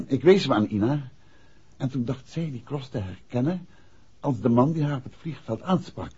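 An actor playing a composed, courteous and shrewd amateur detective in a 1960s Dutch radio play. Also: male voice, mid-range voice, expressive, moderate pace, mellow, slightly rough. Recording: dull, muffled vintage radio recording.

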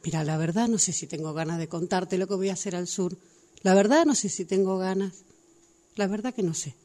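A woman's voice: lowish voice, brisk, warm tone, lightly acted. Emphatic retired Argentine woman as a woman acts her. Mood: indignant. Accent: Argentine Rioplatense. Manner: gushing, emphatic, insistent. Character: excitable newly retired woman, stubborn dreamer. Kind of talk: radio drama workshop phone dialogue.

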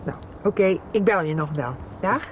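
A woman's voice: fairly low pitch, lively intonation, moderate pace, highs cut off, natural voice. An actress plays a comforting female friend in a radio soap. Her intonation swings widely as she coaxes. Faint noise sits behind her. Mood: concerned. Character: caring, coaxing female friend offering comfort. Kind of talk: Dutch radio soap acting.

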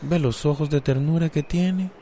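A man's voice: fairly high pitch, normal speed, close to an everyday voice. A man with a Costa Rican accent playing a cheeky, coaxing, good-humoured friend in a radio drama. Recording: noisy, dog sounds behind.

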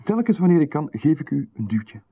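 A man with a Flemish accent, playing a calm, insistent stranger in a radio drama who seems to know far too much. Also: a little high, fairly quick, slightly rough, heavily acted. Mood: insinuating. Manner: calm, insistent, coolly overfamiliar. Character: calm, knowing male stranger who watches closely. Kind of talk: 1990s radio drama acting. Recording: narrow, phone-like radio broadcast.

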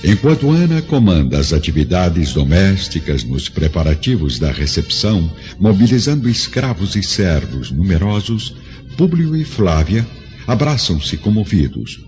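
A man's voice: deep voice, a little mellow. A man narrating a radio drama from a script in a solemn, grandiose storytelling manner. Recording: dull lo-fi audio, prominent music behind.

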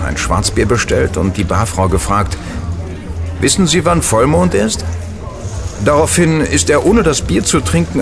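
An actor playing a chatty, superstitious casino gambler who gives knowing warnings in an audio drama. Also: male voice, medium pitch. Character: easygoing, superstitious casino gambler, male, worldly regular. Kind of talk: German audio drama dialogue.

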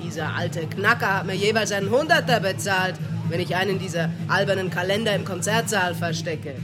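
Actress as a cheeky, bright young woman. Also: medium pitch, brisk, over loud music, close to an everyday voice. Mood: defiant, dismissive. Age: young adult. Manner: flippant, slangy. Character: cheeky, streetwise young woman, unimpressed and defiant. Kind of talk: comic radio play dialogue.

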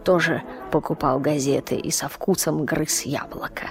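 A woman's voice: low-pitched, steady tone, normal speed, music in the background. A woman narrating childhood memories as scripted storytelling in a radio play, in a reflective way. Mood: calm, reminiscing.

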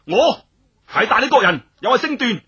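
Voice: mid-range voice, very animated, moderate pace, bright, forceful tone, breathy edge, dull audio, pitched above the performer's own voice, full character voice. A narrator voicing a bold young nobleman, proud and defiant, in Cantonese.

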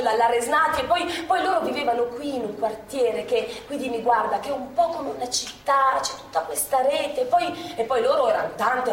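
A woman performing a lively theatrical monologue on a stage, recalling a wartime past. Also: a little high, a little hiss or hum. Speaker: actress in a stage play. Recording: echoey theatre from far off.

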